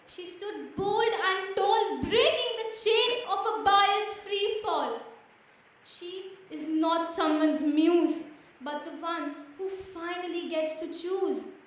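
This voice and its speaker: A woman performing spoken-word poetry in a dramatic, declamatory manner, repeating phrases for emphasis. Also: very high voice. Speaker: slam poet. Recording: very echoey room, mic far off.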